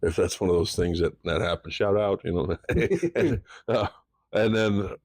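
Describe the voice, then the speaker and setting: A man's voice: low voice, unhurried, darkish tone, no room sound, clean studio sound. A man of thirty-five co-hosting a podcast, rambling in casual chat, fumbling his words and laughing at himself. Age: 30s. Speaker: podcast co-host.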